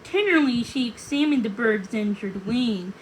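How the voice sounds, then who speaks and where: Female storybook reader: high, animated narration, slight background noise. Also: normal speed, low-quality recording. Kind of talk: expressive read-aloud narration.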